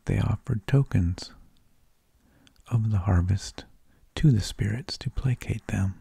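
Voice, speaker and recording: A man narrating in a soft, breathy ASMR whisper, with slow, deliberate phrasing, close to the microphone. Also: fairly low pitch, no room sound. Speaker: ASMR host.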